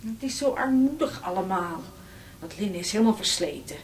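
An actress playing a practical, complaining housekeeper to a village priest, in a fussy manner, in a radio drama. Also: female voice, medium pitch, animated, a little fast, slight background noise, close to an everyday voice. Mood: grumbling.

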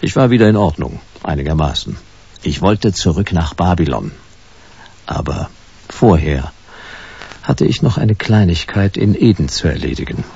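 A world-weary private detective in a sci-fi noir radio play. The voice is very low and gravelly, swinging widely between dry, clipped irony and drawn-out phrases. The recording is slightly dull. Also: male voice, expressive, dark tone, heavily acted. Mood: laconic narrating. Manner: laconic, dry, sardonic. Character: world-weary, wry hard-boiled male private detective. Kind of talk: German radio play noir acting and first-person narration.

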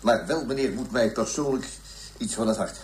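An actor playing a pompous, evasive police inspector who talks in stiff officialese in a radio play. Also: male voice, mid-range voice, normal speed, a little hiss or hum, natural voice. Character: pompous, self-important male police inspector giving an interview. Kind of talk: radio drama acting. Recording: roomy, echoing radio play recording.

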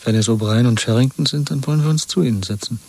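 An actor playing a weary, wary dissident lover in a 1970s German radio drama, with a husky voice and a measured, resigned delivery. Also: male voice, mid-range voice, a little mellow. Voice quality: husky, breathy.

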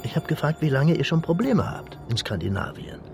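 An eccentric prophet-like guide in a virtual world. The voice is gravelly and wildly animated, swinging theatrically from hushed to shrill, as in a science fiction radio drama with music behind it. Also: male voice, fairly quick. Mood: solemn, probing. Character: Farin, eccentric calm virtual-reality guide and prophet-like host. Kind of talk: German radio play acting.

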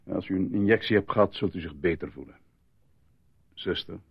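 An actor playing a calm, formal male doctor at a military hospital, soothing and measured, in a radio drama. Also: low-pitched, breathy edge, highs cut off. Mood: matter-of-fact.

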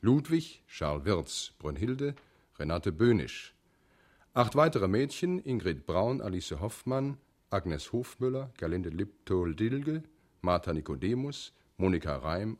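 A man's voice: low-pitched, animated, measured pace, darkish tone. A man announcing the closing credits of a radio play from a script.

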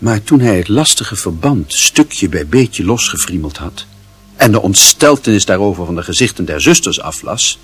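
A man narrating a radio play in a measured, expressive, literary storytelling style. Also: low-pitched, slightly rough.

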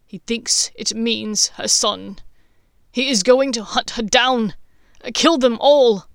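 A woman's voice: fairly high pitch, slow pace, fairly bright tone, no room sound, clean studio sound. A woman voicing a frantic young male wizard who stammers and pleads in anguish. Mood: frantic pleading.

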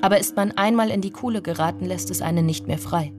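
An actor playing a somber villager who narrates a radio drama in a measured, brooding way. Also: mid-range voice, close to an everyday voice. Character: somber village narrator recalling an old tragedy. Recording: music bed under the voice. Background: music.

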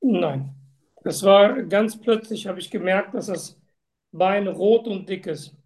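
A woman with a medium-pitched voice plays a patient answering medical questions. She speaks slowly and deliberately, keeping her answers short and factual. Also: darkish tone. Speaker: simulated patient in medical exam practice. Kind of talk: role-played patient answers.

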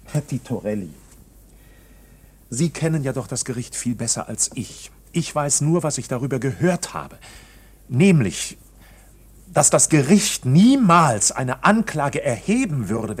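Anxious, very raspy-voiced accused man. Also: medium pitch, very animated. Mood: agitated. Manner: halting, agitated, insistent. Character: anxious, bewildered accused man, protesting his innocence, indignant. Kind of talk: German radio play acting.

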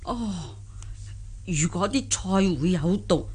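An actress playing a naive, jolly old country granny in a radio drama, with folksy, exclamatory delivery. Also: female voice, on the low side, animated, takes it slowly, darkish tone, husky, heavily acted. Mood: jolly, frank.

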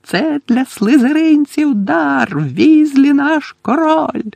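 A man voicing gloating school sports fans in an audiobook, singing a slow, drawn-out mocking chant. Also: very high voice, lively intonation, gravelly, a little mellow, dry recording, voice pushed up, heavily acted.

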